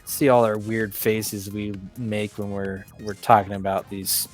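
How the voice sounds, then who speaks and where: A man's voice: lowish voice, fairly even intonation, slow, a little mellow, faint music behind. A man co-hosting a casual movie podcast, talking in a hesitant, rambling way and stumbling over words. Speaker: podcast co-host.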